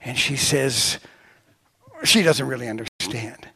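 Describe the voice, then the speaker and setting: A middle-aged man preaching a sermon as pastor, telling stories with lively swings of voice. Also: slow, breathy edge. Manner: storytelling with lively pitch swings.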